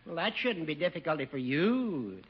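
An actor playing a sly, boastful older judge, teasing and theatrical in a 1950s radio comedy. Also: male voice, fairly high pitch, sing-song, moderate pace. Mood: gleeful teasing. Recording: muffled, faintly hissy old radio recording.

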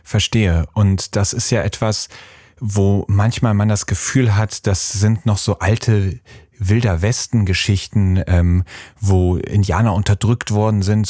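A man from Germany hosting an interview, asking curious, measured questions. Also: on the low side, dark tone, dry recording, clean studio sound. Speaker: podcast host. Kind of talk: interview conversation.

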